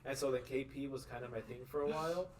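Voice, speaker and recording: A man's voice: mid-range voice, fast, far from the mic, slight background noise. A young man telling a story in slangy casual podcast chat. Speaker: esports team member.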